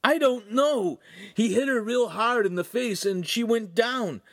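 A man acting a frantic, high-pitched young squire. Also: quick pace, dry recording, clean studio sound. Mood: frantic babbling. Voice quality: strained, breathless. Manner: babbling, pleading, uneducated speech. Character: frightened, wounded young male peasant squire, frantic and naive.

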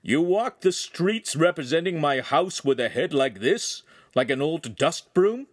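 Big jovial storybook master craftsman, voiced by a male narrator: booming, teasing, fatherly, lively swings. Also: a little slow, no room sound, clean studio sound. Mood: teasing scolding.

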